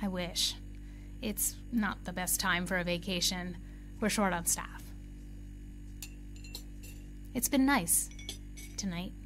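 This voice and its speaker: A woman's voice: on the low side, a little slow, fairly bright tone, soft background music. A woman as a wistful, candid young barista. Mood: warm, curious. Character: wistful young female barista and lapsed musician. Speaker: actor. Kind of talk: naturalistic audio drama acting.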